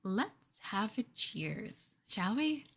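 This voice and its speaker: A woman playing an obsessive, lovesick young girlfriend in an ASMR roleplay, cooing slowly with sweet possessiveness. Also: mid-range voice, sing-song, a bit bright, slightly rough, exaggerated voice. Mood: sweetly possessive. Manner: slow, cooing, lingering delivery.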